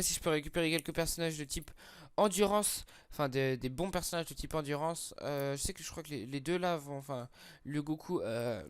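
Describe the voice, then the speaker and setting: A man's voice: fairly high pitch, clear, resonant tone, dry recording. A young man with a slight head cold, casually commenting on game summons with lots of fillers, hesitations and crude slang. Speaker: gaming YouTuber.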